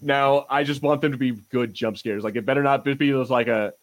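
A man co-hosting a podcast, chatting casually in a halting, rambling way with repeated false starts. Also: medium pitch, steady tone. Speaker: podcast host. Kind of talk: casual pop-culture banter.

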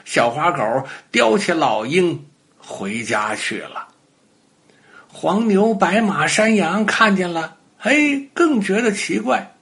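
A man tells a children's story in a high voice. His narration is animated, with big swings in pitch and a slow, measured pace that suits young listeners. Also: vocal fry.

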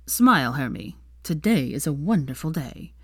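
A woman's voice: low voice, sing-song, moderate pace, a bit bright, slightly rough, no room sound, studio quality, full character voice. A woman voicing a smug, teasing young male wizard in an audio story, breezily pleasant and drawling. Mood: smugly pleasant.

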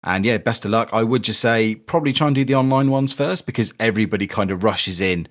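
Male podcast host, low-pitched, chatty and upbeat, relaxed delivery. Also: quick pace. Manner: chatty, upbeat, reads sponsor plugs. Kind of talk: casual podcast chat about a football video game.

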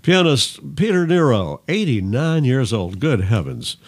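Brief: older male American co-host, former DJ, wisecracking, casual delivery with lively swings in pitch. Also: measured pace. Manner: jokey, quipping. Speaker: podcast co-host and former radio DJ. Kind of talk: casual joking chat.

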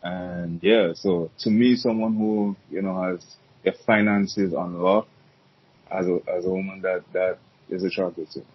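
A man's voice: deep voice, steady tone, a little slow, a little hiss or hum. A man talking casually as a podcast guest, easygoing and rambling, with fillers and restarts. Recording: dull lo-fi sound.

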